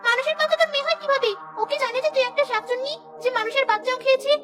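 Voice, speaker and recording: Menacing she-ghost for a cartoon: extremely high, taunting, domineering, music underneath. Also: racing. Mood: menacing, accusing. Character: bossy, menacing female ghost who hungers for children. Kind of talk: cartoon character acting.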